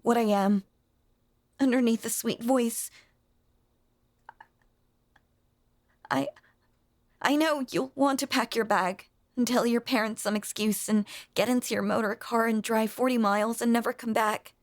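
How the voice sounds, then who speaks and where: A woman voicing a lonely, possessive young ghost in an intimate audio roleplay, speaking in a hushed, halting, breathy voice that is tender and sweetly sinister. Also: on the high side, steady tone, no echo, clean studio sound, lightly acted. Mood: anxious confessing. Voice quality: breathy, often slipping into whisper.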